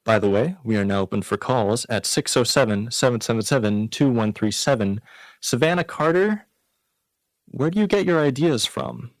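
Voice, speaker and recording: A man's voice: mid-range voice, animated, moderate pace, no echo. A man hosting a radio variety show, making scripted announcements and introducing an interview.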